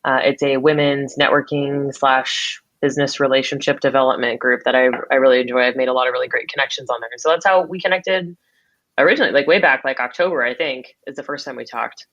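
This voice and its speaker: A woman's voice: very deep voice, fairly even intonation, quick pace, fairly bright tone. A woman hosting a podcast, chatting in a casual, upbeat way with plenty of fillers. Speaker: podcast host. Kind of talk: casual interview chat. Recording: dull lo-fi call audio.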